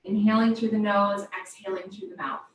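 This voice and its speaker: A woman teaching yoga, guiding a body scan with gentle, invitational step-by-step cues. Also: mid-range voice, steady tone, brisk. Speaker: yoga instructor. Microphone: distant microphone. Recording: very echoey room, distant mic, faint noise.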